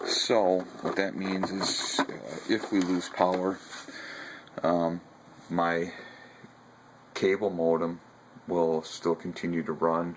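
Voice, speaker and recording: A man walking through his home battery and radio setup in a casual vlog, talking haltingly with many ums and self-corrections. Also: low voice, flat delivery, background noise. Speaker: video blogger showing his ham radio setup. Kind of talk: casual walkthrough narration. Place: house, garage and basement.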